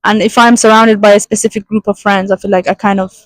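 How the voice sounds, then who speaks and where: A woman's voice: mid-range voice, little pitch movement, fast, dry recording. A young woman from Afghanistan who grew up in Britain, chatting casually outdoors in a park into a handheld mic. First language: Hazaragi Persian. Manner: chatty, talks with her hands, frequent fillers. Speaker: guest artist. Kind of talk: casual conversation. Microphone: handheld lavalier mic held to the mouth.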